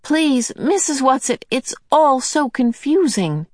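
A woman's voice: sits high, normal speed, darkish tone, no room sound, clean studio sound, voice pushed up, close to an everyday voice. A woman voicing a worried young girl pleading with the adults. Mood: anxious.

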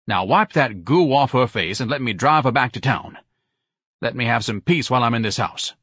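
Weary middle-aged husband, played by a man, mid pitch, husky, sarcastic grumbling for audiobook fiction. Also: animated, moderate pace, a bit bright, no echo. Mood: exasperated. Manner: dry, grumbling.

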